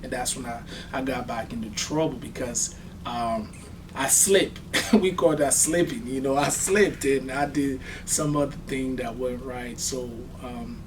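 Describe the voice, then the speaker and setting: A middle-aged man from Liberia with a Liberian accent, telling his life story in an interview in a halting, reflective way. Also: medium pitch, fairly even intonation. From Liberia, partly raised in Ghana. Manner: halting, reflective, frequent "you know". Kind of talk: unscripted personal storytelling in an interview. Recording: distant microphone, very noisy background ambience.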